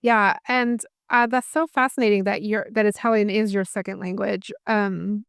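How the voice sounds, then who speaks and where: Casting: a woman interview host, smooth voice with a touch of vocal fry, dry close mic. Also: mid-range voice, fairly even intonation, moderate pace, dry recording. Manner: warm affirming, many fillers. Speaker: podcast host. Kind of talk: interview conversation.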